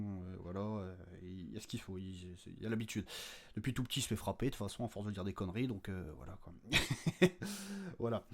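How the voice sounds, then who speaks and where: A man talking informally and unscripted as a podcast host, hesitant and jokey, often repeating words as he searches for them. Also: medium pitch. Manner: jokey, crude slang. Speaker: creator and host of a fiction audio podcast. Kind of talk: informal unscripted update to listeners.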